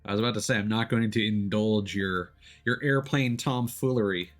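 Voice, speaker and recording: A man chatting casually as he plays a video game on stream, often pausing and restarting mid-thought. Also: on the low side, steady tone. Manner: chatty, hesitant mid-thought, playful exclamations. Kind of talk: casual gameplay commentary and chat. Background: faint game music.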